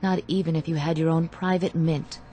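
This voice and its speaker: An actress playing a gentle, principled young woman in a radio drama, soft and earnest. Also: low-pitched, fairly even intonation, fairly quick, slight background noise, close to an everyday voice. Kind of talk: vintage radio drama acting. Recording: old radio drama with faint music.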